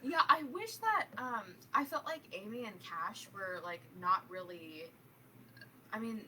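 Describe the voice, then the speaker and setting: Woman, bright tone, casual group discussion, loose filler-heavy phrasing, distant mic, faint noise. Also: medium pitch, lively intonation, a little slow. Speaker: book club participant.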